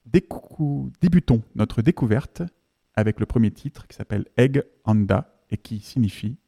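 Mellow-voiced male radio host. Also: medium pitch, expressive, creaky. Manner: measured, slows on key phrases. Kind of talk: scripted radio music show presentation. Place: radio studio.

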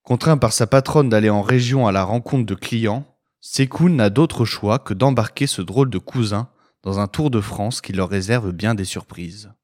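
A man's voice: on the low side, normal speed. Man reading a film synopsis. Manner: steady, scripted reading. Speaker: film show co-host.

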